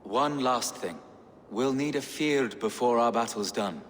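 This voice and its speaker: An actor playing a weary, grieving English bishop in a video game, speaking gravely and with lament. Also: male voice, mid-range voice, measured pace, in a large hall, slight background noise, lightly acted. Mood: grave counsel. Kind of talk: dramatic video game acting.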